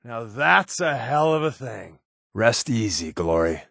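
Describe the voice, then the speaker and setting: Glib, wisecracking undercover agent, lively pitch. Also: male voice, measured pace, gravelly, no room sound, heavily acted. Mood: earnest, persuasive. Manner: glib, dry sarcasm, conversational. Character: wisecracking male undercover agent and companion, sly, sardonic. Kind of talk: video game companion dialogue.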